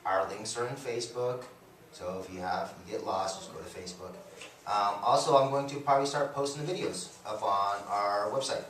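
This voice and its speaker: A man co-hosting a local radio talk show, talking in a laid-back, teasing way and quick to laugh. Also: lowish voice, fairly bright tone. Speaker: radio co-host. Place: radio studio. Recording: echoey room, distant mic, faint noise.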